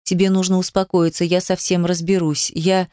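A woman's voice: on the low side, level tone, normal speed, warm tone, smooth, no room sound, clean studio sound, lightly acted. A woman voicing a controlled, irritable, domineering man in an audiobook drama, terse and restrained.

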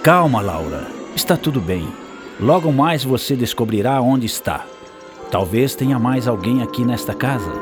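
An actor playing a mysterious, suspenseful radio drama narrator with a Brazilian accent. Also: male voice, medium pitch, lively intonation, normal speed, music in the background, lightly acted. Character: mysterious male narrator guiding a lost girl.

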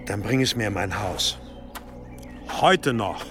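An actor playing an authoritative, curt monastery abbot in a German radio drama. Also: male voice, fairly low pitch, expressive, slow pace, over music. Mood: stern, insistent. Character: stern, commanding medieval monastery abbot.

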